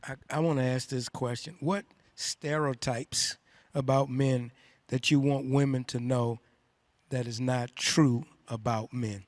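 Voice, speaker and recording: A man moderating a panel, asking questions in a plain, flat way. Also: medium pitch, measured pace, dry recording.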